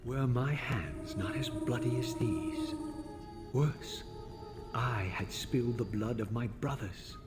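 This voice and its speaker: Man playing a slow, brooding undead vampire wraith. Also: mid-range voice, music in the background, natural voice. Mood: brooding, bitter. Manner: brooding, eloquent, theatrical, scornful. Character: undead male vampire wraith, proud, bitter, vengeful. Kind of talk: dramatic game voice acting.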